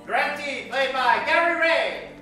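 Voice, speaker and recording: In a very reverberant theater, with music playing behind him, a man announces an old-time radio show. His voice is high for a man and set back from the microphone. He delivers everything with grandiose, tongue-in-cheek showmanship and dramatic pauses. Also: far from the mic. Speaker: host and announcer of a live radio-play show. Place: live theater stage, echoing room.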